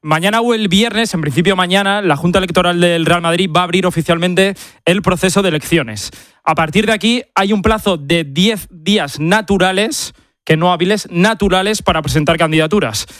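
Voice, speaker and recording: High-voiced Spanish male radio reporter. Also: fairly quick, fairly bright tone, dry recording, clean studio sound. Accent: Spanish from Spain. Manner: methodical, explanatory. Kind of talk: live explanatory news report.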